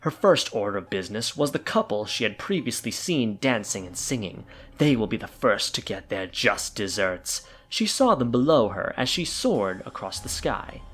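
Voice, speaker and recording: A man's voice: lowish voice, sing-song, normal speed, fairly bright tone. A man narrating an audio drama in a playful, theatrical storytelling manner.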